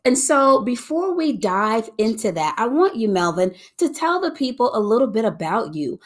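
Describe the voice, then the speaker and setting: A woman's voice: fairly high pitch, big pitch swings, normal speed. A woman hosting a podcast interview, upbeat and exclamatory as she welcomes her guest. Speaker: podcast host.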